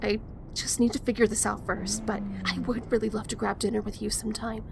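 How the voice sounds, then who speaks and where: A woman's voice: medium pitch, level tone, a little fast, vocal fry, background noise. A young woman playing a guarded, preoccupied woman in an audio drama, speaking hesitantly. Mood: earnest.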